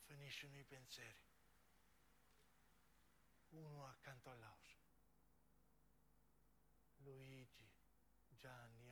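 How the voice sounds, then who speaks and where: An actor playing an anguished young man dying in a crash, in slow, fragmented Italian radio theatre with a southern accent. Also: medium pitch, a bit bright, slight background noise. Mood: numb, mournful. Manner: slow, fragmented, intense delivery. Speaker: narrating stage actor. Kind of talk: dramatic monologue, narrative radio theatre.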